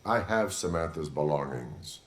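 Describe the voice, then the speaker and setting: Deadpan cyborg policeman, very deep, formal and terse, game cutscene, some background noise. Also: a little slow. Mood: stoic, stern. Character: stoic cyborg police officer, middle-aged male. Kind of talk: video game cutscene acting.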